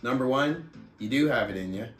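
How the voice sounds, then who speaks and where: A man who speaks as a motivational book club host, reading aloud and coaching in an emphatic, deliberate, rhetorical manner. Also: mid-range voice, animated, soft background music. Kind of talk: audiobook-style reading aloud and motivational talk. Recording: roomy, echoing sound.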